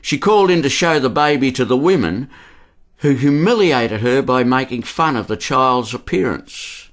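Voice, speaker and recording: A man's voice: mid-range voice, expressive, normal speed. Guy narrating a history radio play, mid-range voice, reading from a script.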